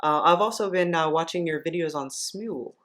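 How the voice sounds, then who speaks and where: A woman's voice: sits low, brisk, a bit bright. A woman hosting a podcast, interviewing a guest in a casual, conversational style. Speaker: podcast host.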